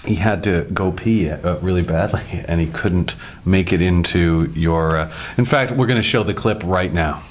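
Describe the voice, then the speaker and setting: A man co-hosting a casual interview, with a voice a little lower than most. He chats and jokes loosely, stumbling over words now and then, against a faint hiss. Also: normal speed, hardly any pauses. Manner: chatty, joking, hesitant. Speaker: co-host.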